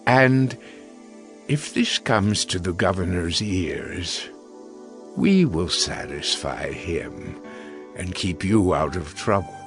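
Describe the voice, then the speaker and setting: An actor playing a grave, scheming chief priest in a biblical audio drama, speaking formally and deliberately. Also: male voice, low voice, animated, music in the background, heavily acted. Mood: conspiratorial.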